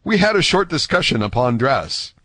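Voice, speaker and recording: A man's voice: mid-range voice, big pitch swings, normal speed, sharp tone, dry recording, voice pushed up. A man voicing a polite, eager young swordsman in an audiobook, speaking with formal, earnest courtesy. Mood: spirited. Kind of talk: audiobook character acting.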